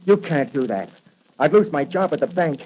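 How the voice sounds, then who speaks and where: An actor playing a desperate, indignant young bank clerk accused of murder, in melodramatic 1940s radio style. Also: male voice, on the high side, lively intonation, moderate pace, close to an everyday voice. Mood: pleading, anxious. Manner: melodramatic, pleading. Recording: muffled, noisy vintage radio recording.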